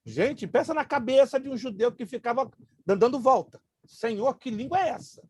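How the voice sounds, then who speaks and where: A man whose first language is Hebrew, a pastor teaching a Bible class, emphatic and joking, slowly repeating grammar terms. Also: extremely high pitch, animated, darkish tone, husky.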